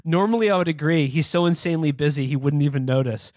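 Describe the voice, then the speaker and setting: A man's voice: fairly high pitch, fast, darkish tone, no echo, clean studio sound. A man hosting a podcast, talking casually and comedically as he reads material aloud. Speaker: podcast host.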